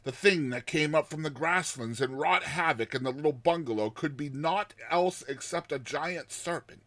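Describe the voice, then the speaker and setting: A man voicing a terrified man telling his nightmare in a dramatized story, with tense pauses, hushed passages and explosive outbursts. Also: on the high side, no room sound. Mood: dread. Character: terrified man recounting his nightmare of a lurking giant serpent.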